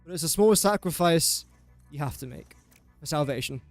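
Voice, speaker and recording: A man's voice: fairly high pitch, moderate pace, creaky, no room sound, faint music behind. Young English man with a dry, close sound. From England. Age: young adult. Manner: self-deprecating, talks to the objects he places. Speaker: gaming streamer. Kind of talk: casual live gameplay commentary.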